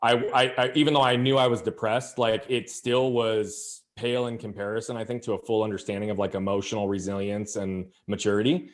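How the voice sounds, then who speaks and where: A man in his thirties, a podcast guest and coach, telling his story in a candid, energetic way, often restarting his sentences. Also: lowish voice, fairly quick, few pauses. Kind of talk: conversational interview storytelling.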